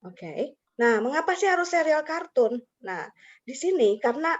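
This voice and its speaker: A woman's voice: high-pitched, very animated, moderate pace. A woman hosting an online teacher webinar, chatty and friendly, calling on participants by name. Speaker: webinar host and teacher trainer. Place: video call. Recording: dull lo-fi video call audio.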